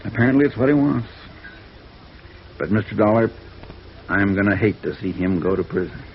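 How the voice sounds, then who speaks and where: A man's voice: fairly low pitch, moderate pace, close to an everyday voice. A male actor playing a troubled, conscientious defense attorney, world-weary and emphatic, in a 1950s radio drama. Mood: regretful, reflective. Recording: dull, noisy vintage radio recording.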